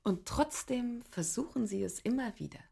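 A woman's voice: fairly high pitch, lively intonation, moderate pace, creaky, warm tone, husky, full character voice. An actress playing a guarded, teasing woman illustrator in a German radio drama, hesitant at first and then pointed. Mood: reflective.

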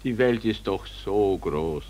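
Prison director, low register, slow, blandly kind, condescending. 1940s radio-play style. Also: male voice, animated, darkish tone. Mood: placid, condescending.

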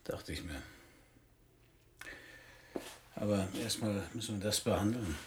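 An actor playing a hard-boiled, middle-aged private detective in a radio crime play, dry, laconic and slangy. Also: male voice, fairly low pitch, brisk, lightly acted. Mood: matter-of-fact. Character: hard-boiled, sardonic male Parisian private detective narrator. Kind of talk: noir radio play narration and dialogue. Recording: radio play, some background noise.

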